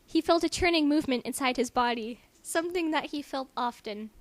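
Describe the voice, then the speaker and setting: A woman's voice: sits high, a little fast. A young woman doing an amateur dramatic reading, giggly and reluctant, breaking off for casual remarks. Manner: giggly, reluctant, interjecting asides. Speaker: amateur reader. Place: room in a house. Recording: noisy home recording.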